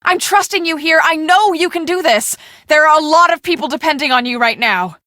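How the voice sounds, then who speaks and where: An earnest woman who runs a storm-chasing crew in a video game. Her voice is fairly high and very bright, with a brisk, businesslike delivery that takes charge. The sound is close and dry. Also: no echo. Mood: urgent, serious. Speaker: storm-chasing team project manager.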